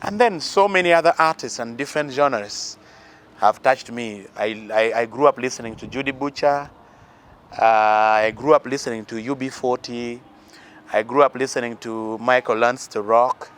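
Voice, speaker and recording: A man's voice: medium pitch, moderate pace, fairly bright tone, clear, resonant tone. A musician from northern Uganda with a Ugandan accent, earnestly giving life advice and talking about his influences in an interview, with many fillers and restarts. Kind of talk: interview answers.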